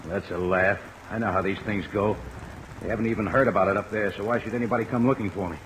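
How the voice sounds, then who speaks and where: An American actor playing a wary, bewildered sailor held in jail, speaking plainly and hesitantly in an old radio drama. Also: male voice, on the low side, fast talker, close to an everyday voice, low-quality recording. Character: bewildered, wary American seaman jailed for murder. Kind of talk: 1950s radio drama acting. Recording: old radio recording with rain noise. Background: steady rain.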